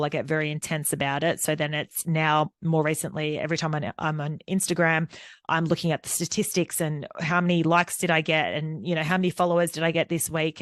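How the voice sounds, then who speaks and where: A woman's voice: low voice, dry recording. A woman, hosting a solo podcast in a candid, rambling way with lots of fillers. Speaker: podcast host. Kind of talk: unscripted solo podcast monologue.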